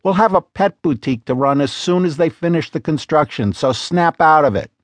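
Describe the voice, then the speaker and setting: A man voicing a sharp-tongued, exasperated woman who bosses her business partner, talking in a sarcastic, scolding way. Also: on the high side, expressive, fairly quick, no echo, voice pushed up, close to an everyday voice.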